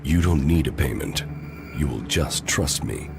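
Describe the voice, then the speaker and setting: An actor playing a terse, gruff ex-commander in a video game, cold and self-assured, with a gravelly voice. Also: male voice, rumbling low, expressive, a little slow, heavily acted. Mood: cold persuasion. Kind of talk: video game dialogue acting. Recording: music under the voice.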